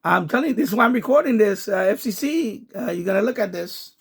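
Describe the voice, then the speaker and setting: Higher-pitched, creaky-voiced man commenting casually. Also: lively intonation, quick pace. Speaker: video host, amateur radio hobbyist.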